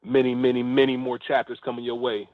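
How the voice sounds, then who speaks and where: A man's voice: mid-range voice, completely flat delivery, quick pace, dry recording, phone-quality sound. A man speaking an earnest, appreciative thank-you as a guest leaving a voice note. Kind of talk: heartfelt spoken thank-you message.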